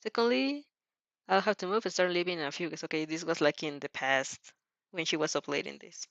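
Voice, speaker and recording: Girl reading fanfic out loud, giggly and expressive, chatty asides, kinda muffled dry mic. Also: on the low side, a little fast, creaky, no echo. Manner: giggly, expressive, breaks off to comment. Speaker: fan fiction narrator and reactor. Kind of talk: dramatic reading with casual reactions.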